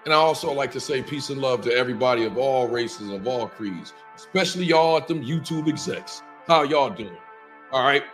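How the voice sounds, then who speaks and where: A hoarse man in his thirties, losing his voice, hosting a casual livestream from a small room and greeting viewers by name. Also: medium pitch, animated, brisk, a bit bright, faint music behind. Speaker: livestream host.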